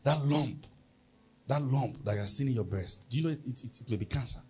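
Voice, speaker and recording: Husky Nigerian pastor preaching. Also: male voice, mid-range voice, a little fast, darkish tone. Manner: emphatic preaching, call-and-response with congregation. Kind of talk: sermon.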